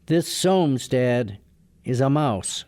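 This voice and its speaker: A man's voice: medium pitch, expressive, extremely slow, darkish tone. A man acting in a radio drama as a good-natured, lovestruck young lawyer who stands up to his father. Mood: breezy, defiant.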